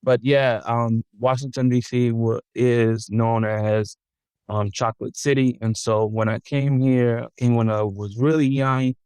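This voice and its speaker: A man from the Dominican Republic, a podcast guest, talking in a relaxed, thoughtful way with frequent ums and restarts, mixing English and Spanish. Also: medium pitch, a little slow, clean tone, no room sound. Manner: thoughtful, many ums and self-corrections. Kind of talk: casual interview, switching between English and Spanish.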